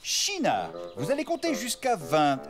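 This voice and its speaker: A genial cartoon father, with a hugely swooping, expressive delivery. He is playful and jovial, with loud music behind him. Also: high-pitched, a little slow, full character voice. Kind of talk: French children's cartoon dubbing.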